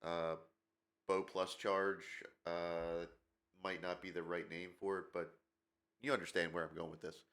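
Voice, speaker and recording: Low-voiced gaming guy w a stuffed up nose, rambly, lots of uhs and ums. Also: flat delivery, measured pace, a bit bright. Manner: rambling, many fillers, opinionated. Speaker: gaming channel host. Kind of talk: casual commentary video.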